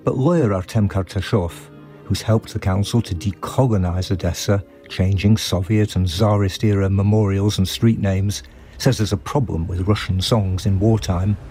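A male foreign correspondent with a fairly low voice, reading a scripted radio report in a measured, descriptive way. his delivery is steady and clear throughout. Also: soft background music. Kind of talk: scripted news report narration.

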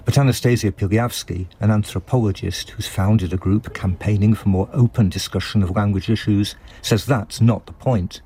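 Low-voiced male news correspondent. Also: fairly even intonation, warm tone, breathy edge. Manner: measured, descriptive. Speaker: foreign correspondent. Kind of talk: scripted news report narration.

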